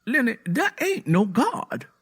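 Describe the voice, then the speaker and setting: A man's voice: extremely high pitch, huge pitch swings, takes it slowly, slightly rough, pitched above the performer's own voice, exaggerated voice. A man voicing a stern, embittered American Southern man with a blunt, defiant rural way of talking, in a narrated story. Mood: dismissive, exasperated.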